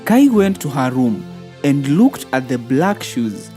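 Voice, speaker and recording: A woman's voice: a bass voice, sing-song, takes it slowly. A woman narrating a scripted fairy tale for children as a storyteller. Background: soft background music.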